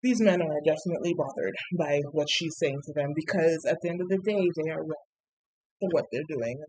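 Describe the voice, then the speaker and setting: A woman's voice: sits low, brisk, a little mellow, breathy edge, no echo, rough recording. A young woman from Trinidad and Tobago with a light Trinidadian accent that comes and goes, chatting casually in an opinion vlog, often tagging "right?" and pronouncing words carefully because of a speech impediment. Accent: Trinidadian, light and coming in and out. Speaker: YouTuber.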